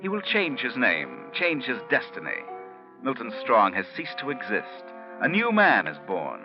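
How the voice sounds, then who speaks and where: An actor as an ominous, measured storyteller narrating a crime tale in 1940s radio style. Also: male voice, mid-range voice, wildly swooping pitch. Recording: muffled vintage 1940s radio recording. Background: dramatic music.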